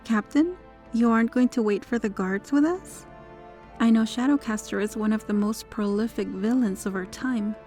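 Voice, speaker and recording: Woman acting a mellow, controlled superheroine with dark secrets. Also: on the high side, moderate pace, soft background music, lightly acted. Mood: dutiful, noble. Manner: smug, flirtatious, controlled. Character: possessive, teasing female superhero hiding a dark obsession. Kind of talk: ASMR roleplay acting.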